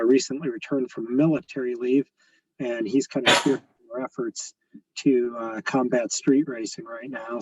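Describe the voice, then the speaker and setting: A man with a raspy, hoarse voice giving a police update at a community meeting, measured and full of fillers. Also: mid-range voice, no room sound. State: hoarse from sneezing. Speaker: police lieutenant. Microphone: video call. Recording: muffled, distant video-call audio.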